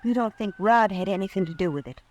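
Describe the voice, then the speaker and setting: A woman's voice: medium pitch, animated, quick pace, lightly acted. A woman with an American accent playing a guarded, polite young ranch widow in an old-time radio western. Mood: subdued, guarded. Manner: polite, restrained.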